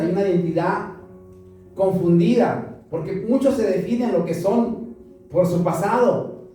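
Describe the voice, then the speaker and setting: A man's voice: sits high, warm tone, distant mic. A Mexican man preaching a sermon to a congregation, asking them questions and repeating them back. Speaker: pastor. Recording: roomy echo from afar, music behind.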